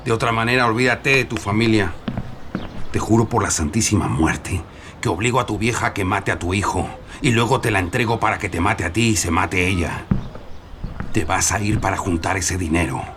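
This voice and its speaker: An actor playing a threatening, foul-mouthed Mexican kidnap gang boss in an audio drama. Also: male voice, fairly low pitch, brisk, background noise, close to an everyday voice. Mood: cold menace. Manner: threatening, vulgar, commanding. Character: menacing male kidnap gang boss making ransom threats.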